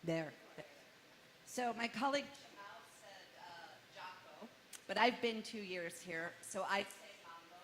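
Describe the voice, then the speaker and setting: A woman moderating a conference panel, introducing guests from a script and adding chatty jokes in a loud, carrying voice. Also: medium pitch, unhurried. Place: large conference hall. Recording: hall reverb, faint room noise.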